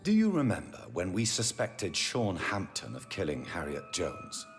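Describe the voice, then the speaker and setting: An actor playing a grave, righteous gentleman vampire doctor in a video game, stern and formal as he interrogates. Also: sits low, wildly swooping pitch, moderate pace, soft background music. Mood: grave, probing. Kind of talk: dramatic video game cutscene acting.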